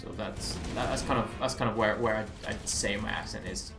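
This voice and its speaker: A man's voice: lowish voice, steady tone, brisk. A man with a Southeast English accent tinged by American speech, giving chatty, rambling live commentary as he plays a game, with wry self-mocking asides. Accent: Southeast English, slightly Americanized. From England. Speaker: game streamer. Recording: roomy echo with game music behind. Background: game music.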